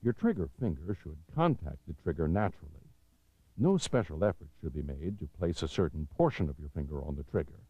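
A deep-voiced American man narrates a training film in a measured, authoritative way. His pitch moves in lively steps as he enunciates each instruction. The sound is slightly dull, like a 1990s videotape soundtrack. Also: slightly rough, dry recording.

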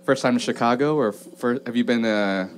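A man's voice: medium pitch, normal speed, a little hiss or hum. A man hosting a casual podcast, chatting and introducing the people in the room with loose, laughing energy. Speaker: podcast host. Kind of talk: casual group chat.

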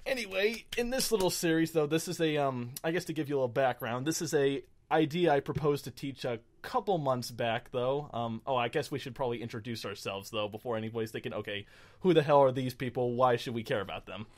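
A man's voice: mid-range voice, fast talker. Rambling, self-deprecating man hosting casually. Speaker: host of a gaming show. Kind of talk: unscripted casual chat.

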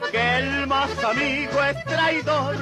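A woman's voice: high voice, steady tone, takes it slowly. A woman with a Mexican accent singing a traditional corrido over accordion. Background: loud accordion music.

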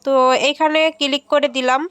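A woman's voice: sits high, little pitch movement, fairly quick, fairly bright tone, no room sound. A woman hosting a casual step-by-step app tutorial. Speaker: tech tutorial host.

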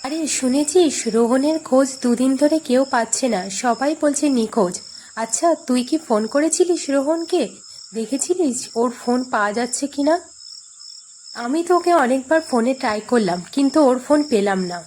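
A woman's voice: sits high, normal speed, a little mellow, slight background noise, lightly acted. A woman voicing a chatty, concerned young man gossiping about his friend in a horror cartoon. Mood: worried, chatty.

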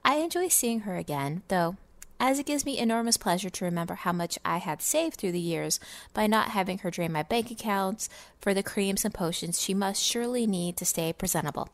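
A woman's voice: mid-range voice, fairly quick, no room sound, lightly acted. Woman playing a theatrical, sneering miser. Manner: theatrical, pompous, scornful delivery. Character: miserly, sneering, self-satisfied businessman narrating holiday memoir. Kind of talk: dramatic reading of fantasy game lore.

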